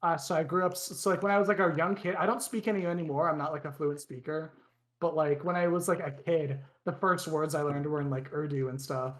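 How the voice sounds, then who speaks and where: A man's voice: on the high side, fairly quick. A young man co-hosting a casual podcast, telling stories in a rambling way full of restarts and fillers. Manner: animated storyteller. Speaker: podcast co-host. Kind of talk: casual chat.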